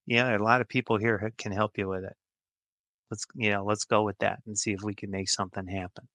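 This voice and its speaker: A man's voice: lowish voice, fairly bright tone, dry recording. A middle-aged or older American man answering interview questions in an informal, plain-spoken and emphatic way. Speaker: podcast guest, healthcare executive.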